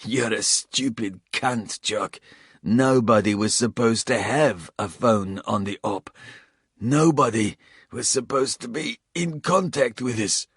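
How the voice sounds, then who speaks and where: A man voicing a wounded, defiant South African male traitor, pushing his voice higher and spitting the words out. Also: slow pace, husky, dry recording, studio quality.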